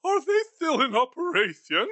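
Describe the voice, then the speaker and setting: A bitter old miser, voiced by a man reading a storybook, all scorn and clipped sarcasm. His pitch leaps all over the place, and the sound is dry and close with no room around it. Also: very animated, moderate pace, pushed and bright, dry recording, exaggerated voice. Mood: coldly dismissive.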